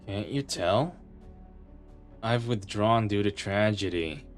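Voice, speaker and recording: Man playing a low, listless, gloomy reclusive detective. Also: takes it slowly, soft background music. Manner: deadpan, lethargic. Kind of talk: anime dub acting.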